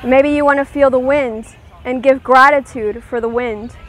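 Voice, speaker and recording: A woman leading a guided meditation, giving gentle, soothing instructions and checking in with her listeners. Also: high voice, fairly even intonation, moderate pace.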